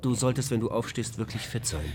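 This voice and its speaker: An actor playing a calm male onboard computer of a spaceship, matter-of-fact and logical in a radio drama. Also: mid-range voice, a little fast, background noise, natural voice. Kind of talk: German radio drama acting.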